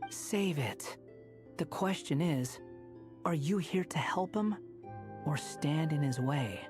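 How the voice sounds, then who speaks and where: Defiant young game warrior, blunt, takes his time, music clearly behind. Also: on the high side, animated, takes it slowly. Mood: defiant, resolute. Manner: curt, challenging. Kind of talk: video game voice acting.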